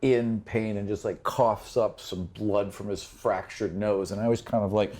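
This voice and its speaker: A man's voice: fairly low pitch, steady tone, normal speed, a little mellow. A man narrating a tabletop fantasy game as its game master and host, in a vivid, conversational style.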